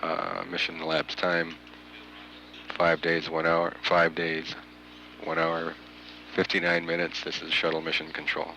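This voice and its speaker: A man giving measured, halting live spaceflight commentary as a mission control announcer, pausing often, on a noisy archival recording. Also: deep voice, monotone, pushed and bright. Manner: halting, frequent long hesitations.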